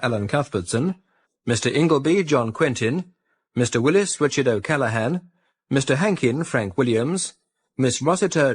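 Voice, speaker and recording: A man with a British accent reading scripted radio drama credits as an announcer in a formal, measured manner. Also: mid-range voice, slightly rough.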